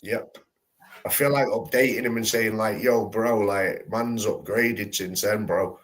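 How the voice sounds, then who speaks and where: A man hosts a live stream in loose, slangy talk. He rambles and restarts his sentences often, in a low voice. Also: fairly even intonation, a little slow. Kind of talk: casual live-stream chat.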